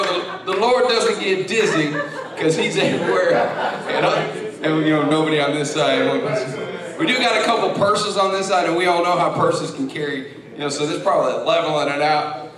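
A man's voice: fairly high pitch, far from the mic. Man leading church prayer in echoey sanctuary. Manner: casual, repeats words while leading prayer. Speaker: worship leader. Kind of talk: church service opening and prayer. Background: congregation.

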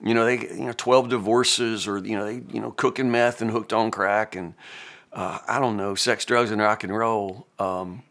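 Folksy fifty-something preacher. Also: male voice, fairly low pitch, fairly even intonation, moderate pace, a bit bright. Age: 50s. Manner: folksy, conversational, many fillers and restarts. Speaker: pastor. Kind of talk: devotional Bible reflection.

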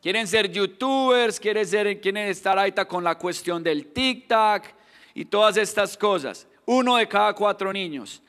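A man's voice: high voice, moderate pace, a bit bright, big-room sound, faint music behind. A man in his thirties with a Colombian accent, preaching a sermon earnestly, often restarting words and building to emphatic questions.

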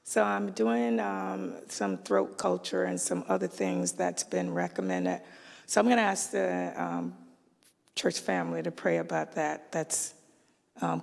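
A hoarse woman with a raspy voice giving announcements to her church, halting with frequent ums. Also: on the low side, a little mellow. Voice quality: raspy, gravelly voice. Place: church sanctuary. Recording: long church-hall reverb.

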